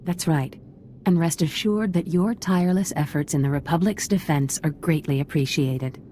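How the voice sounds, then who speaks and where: Woman doing game senator lady, low soft-toned voice, formal and gracious. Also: a little hiss or hum, natural voice. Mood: apologetic, gracious. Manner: formal, conciliatory, measured. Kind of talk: video game voice acting.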